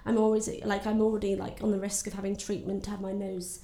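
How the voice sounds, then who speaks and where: Nasal young woman, gravelly. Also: mid-range voice, little pitch movement, fast, mellow. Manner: chatty, full of fillers. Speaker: guest. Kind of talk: casual chat. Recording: echoey room.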